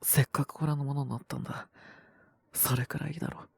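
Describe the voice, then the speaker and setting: A man voicing a possessive, teasing young half-human wolf man with a cold, in an intimate romantic audio roleplay, breathy and rough-spoken. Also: medium pitch, flat delivery, fast talker, darkish tone, no room sound, exaggerated voice. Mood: languid, tender. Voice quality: husky, breathy, often near a whisper. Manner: languid, rough casual speech, teasing. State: sick with a cold, coughing (acted).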